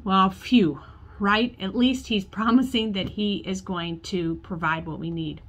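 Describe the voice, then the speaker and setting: A woman pastor in her forties preaches from her office desk, telling a story. Her voice sits at a middle pitch, and she talks to the camera as if to a congregation. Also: fairly even intonation, unhurried, a bit bright, rough recording. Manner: storytelling, acts out quoted voices, gestures with hands. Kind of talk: sermon. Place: church office with bookshelves, indoors.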